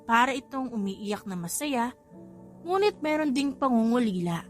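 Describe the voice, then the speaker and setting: A woman's voice: a little high, lively intonation, moderate pace, soft background music. A woman reading a story as an audiobook narrator in scripted narration.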